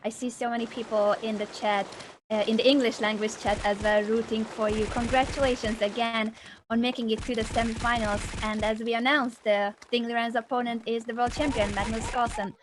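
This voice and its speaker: A woman's voice: on the high side, level tone, brisk. A woman co-hosting an interview, asking questions in a fluent, upbeat way. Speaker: co-host. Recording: clear background noise.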